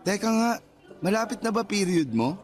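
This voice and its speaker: Lazy filipino boyfriend, high voice, flippant and defensive, radio drama w/ soft music. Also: male voice, sing-song, moderate pace. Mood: teasing. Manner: whiny, flippant excuse-making. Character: defensive, teasing young boyfriend, jobless and lazy. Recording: background music under the voice.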